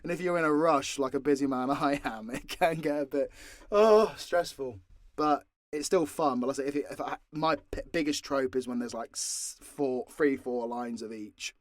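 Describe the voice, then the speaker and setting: A man's voice: mid-range voice, normal speed, no echo, studio quality. A British man casually answering fan questions off the cuff, sweary and joking with mock exasperation. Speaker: audio roleplay creator and voice actor.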